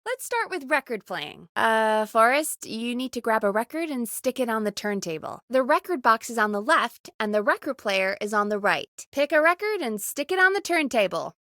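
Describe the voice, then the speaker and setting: A woman playing a bossy but caring, exasperated radio show producer in a video game, brisk and expressive. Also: a little high, dry recording, clean studio sound, heavily acted. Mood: patient instructing.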